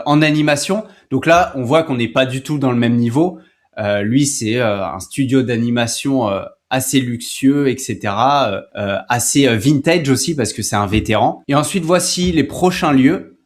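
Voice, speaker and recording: A man hosting a casual, conversational step-by-step screen tutorial, talking informally and directly to the viewer. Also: medium pitch. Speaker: tutorial host.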